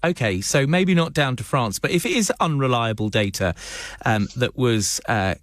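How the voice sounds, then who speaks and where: A man's voice: medium pitch, expressive, moderate pace, no room sound, studio quality. A British man presenting radio news and interviewing live, with hesitant, wordy questioning.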